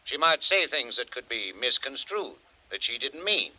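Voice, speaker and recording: A man's voice: mid-range voice, sing-song, moderate pace, pushed and bright, no room sound, exaggerated voice, rough recording. A man playing an indignant, protective county attorney in a 1950s radio drama, blustering and stern. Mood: indignant, defensive.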